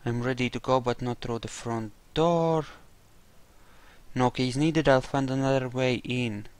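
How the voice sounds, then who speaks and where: A man's voice: medium pitch, steady tone, measured pace, a little mellow, clean tone, dry recording. A man giving casual, wry commentary on a game playthrough, musing aloud on a close mic. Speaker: gamer playing in character.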